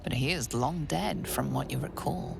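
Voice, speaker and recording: Low, very creaky game narrator. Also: female voice, wildly swooping pitch, moderate pace, background noise, full character voice. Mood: grave, hushed. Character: grave female game narrator recalling lore.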